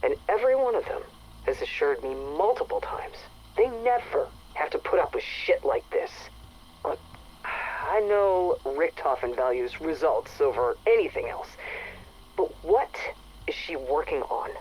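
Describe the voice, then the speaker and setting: An exasperated female station chief in a video game, dictating her log. Her delivery swings widely in pitch, full of dry sarcasm, with faint noise on the radio-like recording. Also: measured pace, poor audio. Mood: wary, irritated. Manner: sarcastic, animated griping. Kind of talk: acted audio log monologue.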